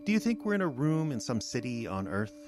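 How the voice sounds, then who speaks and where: An actor in an audio drama playing a condescending alien invader in human guise, speaking in a measured, lecturing manner. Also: male voice, medium pitch, sing-song, music in the background, lightly acted. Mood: coolly menacing.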